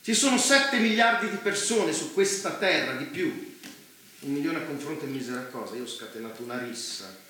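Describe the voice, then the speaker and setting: A man's voice: fairly high pitch, lively intonation, a little fast, bright, forceful tone. An actor playing a cynical, megalomaniac man of power in a theatrical dystopian drama, speaking in a pompous, emphatic, grandiloquent way. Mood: cold, self-justifying. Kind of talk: theatrical stage drama dialogue in dystopian play. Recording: very echoey room, faint noise.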